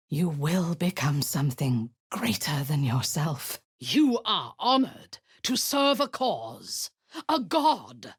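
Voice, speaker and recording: A woman's voice: medium pitch, a bit bright, husky, no room sound, studio quality, full character voice. An actress voicing a cruel, zealous female warlord in a video game, giving orders in a cold, commanding tone with hissed half-whispers. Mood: battle fury.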